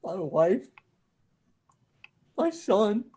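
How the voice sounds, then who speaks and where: A man acting a weary, shaken apocalypse survivor with terse, halting delivery in a comic book table read over a video call. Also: high voice, sing-song, warm tone, slightly rough, exaggerated voice. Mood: grieving.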